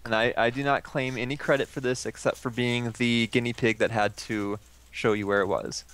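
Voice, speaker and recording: A man chatting casually while playing a game, teasing and quick to laugh, on a headset mic. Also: medium pitch, steady tone, a bit bright, clean tone. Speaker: let's play co-host. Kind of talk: casual gaming chat.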